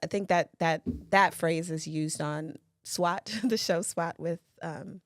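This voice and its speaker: Low-voiced woman co-hosting a chat podcast. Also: steady tone, takes it slowly, no echo, clean studio sound. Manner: chatty, laughs often. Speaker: podcast co-host.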